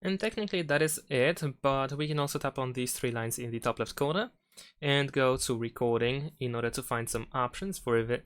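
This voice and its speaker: A man's voice: medium pitch, a bit bright, clean tone. A man hosting a tutorial, talking through steps one by one in an unscripted, instructional way. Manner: instructional, unscripted step-by-step delivery. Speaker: tutorial host. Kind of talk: step-by-step spoken phone tutorial.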